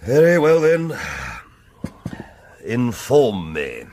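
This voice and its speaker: An actor playing an affable, pompous middle-aged English earl with an upper-class accent and a hesitant, drawling way of talking, in period radio drama. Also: male voice, mid-range voice, big pitch swings, very slow, a little mellow. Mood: mild, bemused. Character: affable, bumbling aristocratic earl obsessed with his pheasants.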